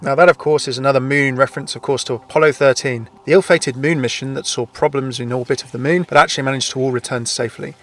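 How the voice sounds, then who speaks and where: A man's voice: mid-range voice, steady tone, quick pace, few pauses, a bit bright. A man hosting a gaming video, reading scripted explainer narration.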